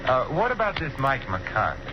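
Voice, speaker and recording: An actor playing a confident, clipped adventurer detective in a 1940s radio drama. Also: male voice, mid-range voice, wildly swooping pitch, normal speed. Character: suave male adventurer detective. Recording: muffled old radio broadcast with hiss.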